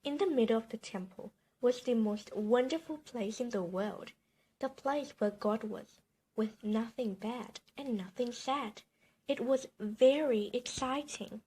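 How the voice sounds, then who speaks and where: A woman reading a children's bedtime story aloud in an expressive, emphatic storytelling manner. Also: on the high side, a little slow, breathy edge, rough recording.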